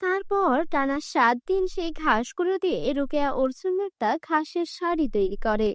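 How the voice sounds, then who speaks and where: Female storyteller for children's cartoon fairy tale, very high voice, scripted narration, dry close sound. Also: expressive, normal speed, no room sound.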